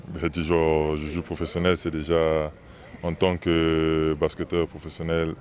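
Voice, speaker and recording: A man's voice: extremely low pitch, monotone, a little slow, a little mellow. A young man from the Republic of the Congo, a professional basketball player, answering interview questions hesitantly, with many fillers and restarts.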